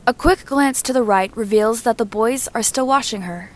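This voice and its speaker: A woman reading a story aloud as scripted narration, in a measured, descriptive manner like an audiobook reader. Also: on the high side. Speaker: reader and narrator of fan fiction.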